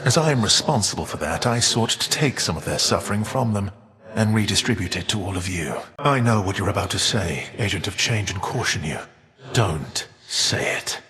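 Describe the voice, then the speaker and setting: A man playing a weary, defiant, all-knowing Titan in a video game, speaking in a measured, formal, archaic and foreboding way. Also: sits low, a bit bright, big-room sound. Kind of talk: theatrical video game character voice acting.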